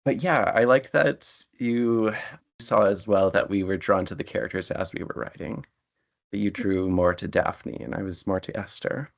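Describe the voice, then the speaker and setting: A man's voice: lowish voice, steady tone, moderate pace, vocal fry. A man talking casually as a writer being interviewed. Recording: dull, band-limited audio.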